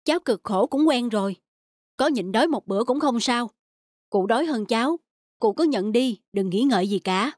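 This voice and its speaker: An actor playing a kind, honest, easygoing peasant husband in an animated folk tale, speaking earnestly. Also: male voice, extremely high pitch, moderate pace. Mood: kindly. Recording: music behind the voice.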